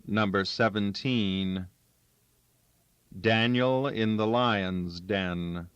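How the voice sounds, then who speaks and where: A man's voice: on the low side, very slow, a bit bright, clear, resonant tone, dry recording. A man reading a story aloud as scripted audiobook narration. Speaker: audiobook reader. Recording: dull, lo-fi, dry recording.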